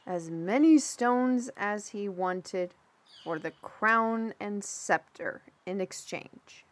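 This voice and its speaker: A woman playing a chatty, easily flustered storyteller in a fantasy audio roleplay, with an expressive, hesitant delivery. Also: medium pitch, slow, close to an everyday voice. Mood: musing, amused. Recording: music behind the voice.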